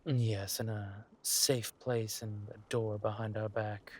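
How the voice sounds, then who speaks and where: A man playing a suave, ruthless villain lord in an audio drama, mock-polite and menacing, with a husky voice and drawn-out delivery. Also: lowish voice, level tone. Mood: tender, assured.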